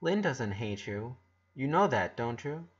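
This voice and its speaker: A man's voice: medium pitch, sing-song, measured pace, husky, muffled recording. A man voicing a kind, earnest eleven-year-old boy in an audiobook story, reassuring and a little hesitant.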